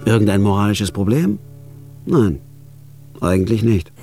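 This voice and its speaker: An actor narrating as a laid-off factory worker turned hired killer, with a dry, wry inner voice. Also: male voice, on the low side, very animated, a little slow, dark tone, faint music behind. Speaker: narrator and lead. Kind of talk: radio drama narration.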